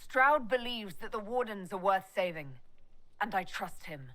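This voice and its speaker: An actress playing a sharp, commanding elf mage in a video game, speaking in a firm, matter-of-fact way. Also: female voice, medium pitch, lively intonation, measured pace, rough recording. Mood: resolute.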